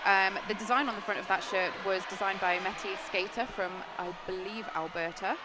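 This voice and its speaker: A woman from Britain does live roller derby commentary, explaining calmly and lifting with excitement as the action builds. Her voice sits in the middle of the range. Heavy venue noise fills the recording behind her. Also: mid-range voice, a little fast. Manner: earnest, explanatory, grows excited on plays. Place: sports venue.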